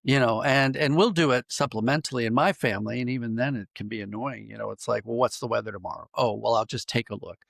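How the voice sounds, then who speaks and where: American guy, middle-aged or older, gravelly voice, thinking out loud in an interview, keeps restarting, dry close sound. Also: mid-range voice, no echo. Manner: thoughtful, halting with repeated false starts. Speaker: podcast guest, writer and dramatist. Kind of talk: conversational interview, explaining ideas.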